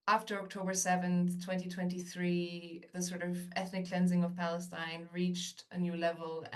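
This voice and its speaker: A woman interviewing a guest, posing questions quickly with "um" fillers and rephrasing. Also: fairly low pitch, distant mic.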